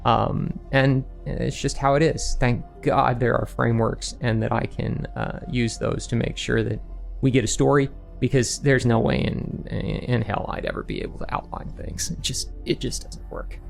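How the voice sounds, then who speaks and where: Man, creaky gravelly voice, guest author, casual interview talk, self-quoting, rhetorical questions, faint music behind. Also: mid-range voice, fairly even intonation, normal speed. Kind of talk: casual interview, explaining his writing process. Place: remote online recording session.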